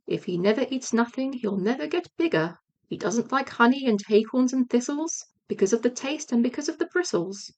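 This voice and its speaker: A woman's voice: a little high, animated, moderate pace, a little mellow. A woman voicing a thoughtful, simple, kindly toy bear in a storybook, musing in sing-song verse.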